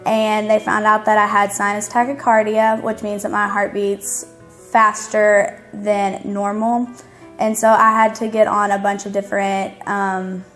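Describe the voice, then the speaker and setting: A nineteen-year-old woman tells her own story to the camera in a casual, youthful way. Her voice sits in a medium register with a flat, level delivery. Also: medium pitch, measured pace, soft background music. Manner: casual, youthful, hedging with kinda. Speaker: interviewee.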